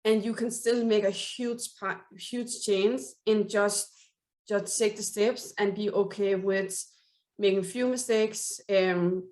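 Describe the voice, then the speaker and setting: A woman's voice: mid-range voice, steady tone, takes it slowly, darkish tone. Earnest Danish-accented woman host. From Denmark. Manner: searching for words, earnest. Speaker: interview host. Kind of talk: casual interview.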